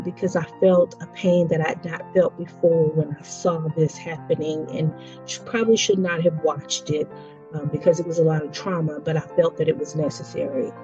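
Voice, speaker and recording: Earnest 40s woman telling her story, low-ish warm voice, kinda dull lo-fi audio. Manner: earnest, reflective, slows down to stress points. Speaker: education leader. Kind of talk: personal testimony talk. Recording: dull lo-fi audio with faint music.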